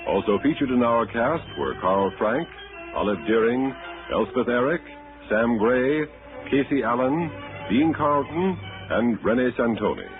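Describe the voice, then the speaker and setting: A man's voice: mid-range voice, lively intonation, unhurried, music in the background. An American man announcing on 1960s radio, reading scripted ads and credits in a formal, polished style. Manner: formal, polished sales delivery. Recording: tinny old radio broadcast.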